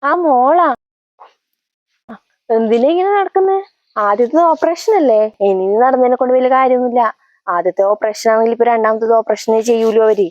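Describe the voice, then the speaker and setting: Woman playing high-voiced nosy know-it-all village neighbour, Kannur accent. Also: fairly quick, pauses often, a little mellow, no echo, dull audio, natural voice. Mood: glib, dismissive. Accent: Kannur, north Kerala. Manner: glib, belittling. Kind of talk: comedy sketch acting.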